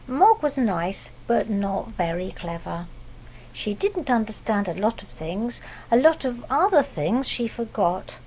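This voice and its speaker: A woman reading a picture book aloud to young children, pausing to explain things simply and ask them questions. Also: fairly high pitch, darkish tone, a little hiss or hum, low-quality recording. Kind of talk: read-aloud picture book storytelling with asides.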